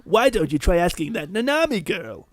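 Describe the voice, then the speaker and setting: A man plays a bossy little demon fox guide from a visual novel game, cheeky and needling. The voice is high and sing-song with lively swoops, recorded dry. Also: normal speed, dry recording, soft background music. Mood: cheeky, instructive.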